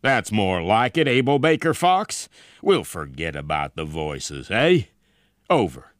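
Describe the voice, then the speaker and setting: A man voicing a gruff, hot-tempered army general who barks blunt orders in an audiobook story. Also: on the low side, sing-song, a little slow, no echo, clean studio sound, voice pushed up. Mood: curt. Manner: barking, blunt, commanding.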